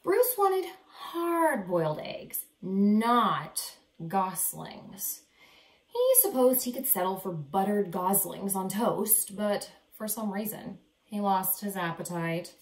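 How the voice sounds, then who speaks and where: A woman reads a children's story aloud in a roomy, echoey space. Her delivery is unhurried and dramatic, with lively rises and falls that lean on the important words. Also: mid-range voice. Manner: dramatic, deliberate emphasis. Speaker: storyteller reading a picture book aloud. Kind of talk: expressive children's read-aloud. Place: small living room, indoors. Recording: roomy, echoey indoor sound.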